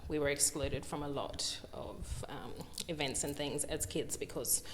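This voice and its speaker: An Australian woman, a guest artist, talking candidly in an interview, with many pauses, fillers and restarts. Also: low-pitched, long reverb tail, a little hiss or hum, rough recording. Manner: hesitant, lots of fillers and restarts.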